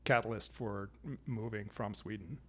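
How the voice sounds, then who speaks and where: A man's voice: fairly low pitch, normal speed, slightly rough. A man hosting a podcast, asking curious follow-up questions with stammered starts. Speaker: podcast host. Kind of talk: interviewing.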